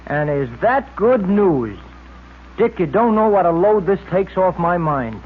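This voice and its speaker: A man's voice: high voice, very animated, measured pace, phone-quality sound, full character voice. An actor playing a folksy, loyal policeman sidekick with a plain-spoken manner in a 1940s radio serial. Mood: relieved. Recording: noisy, band-limited old radio recording.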